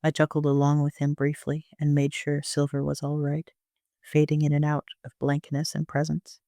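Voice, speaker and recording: Even, creaky higher-pitched male narrator. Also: moderate pace, dry recording, clean studio sound. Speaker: amateur author and narrator. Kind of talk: first-person audio-drama narration.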